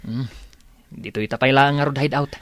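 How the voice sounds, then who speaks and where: A man acts out a grumbling, money-hungry small-time crook for a radio play. The voice sits at a middle pitch on an old recording. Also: fairly even intonation, moderate pace, fairly bright tone, no echo, close to an everyday voice. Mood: restless, grumbling. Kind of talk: radio drama acting.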